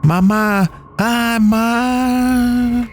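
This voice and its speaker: A frightened small girl, as a male narrator puts her on in an audio horror story, pleading in a voice pitched well above his own. She calls out for her parents in distress, with music behind her. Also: fairly even intonation, drawn-out words, exaggerated voice. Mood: crying, pleading. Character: terrified little girl crying out for her parents.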